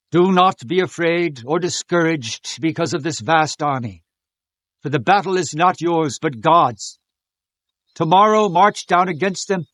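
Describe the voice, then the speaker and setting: An impassioned Old Testament prophet in an audio Bible drama. His voice is high for a man and commanding, declaiming each message with fervor. The sound is close and very dry. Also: dry recording. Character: fervent male Levite prophet proclaiming God's message to a king.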